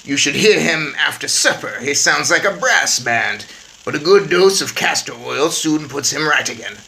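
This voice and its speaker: A woman voicing a loud, pompous, blustering middle-aged man. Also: deep voice, lively intonation, normal speed, gravelly, heavily acted, rough recording. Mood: angry blustering.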